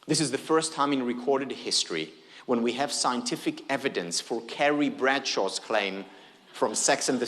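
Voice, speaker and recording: Man speaking english as a second language, hebrew is his first tongue, very lively up-and-down delivery, big echoey hall. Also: mid-range voice, a little hiss or hum. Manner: animated, engages the audience with questions and humor. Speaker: keynote speaker. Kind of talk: conference keynote lecture.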